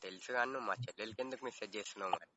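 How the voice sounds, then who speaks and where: A man's voice: on the low side, very fast, loud music behind the voice. A young man making a confrontational prank phone call, brusque and threatening, in slangy speech. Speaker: prank caller.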